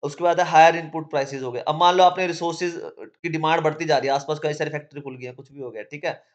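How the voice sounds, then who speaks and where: A man's voice: a little high, steady tone, quick pace, lo-fi sound. A male teacher with a sore throat, explaining economics to students in a casual way, often checking in with "theek hai". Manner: casual, repeats "theek hai" between points.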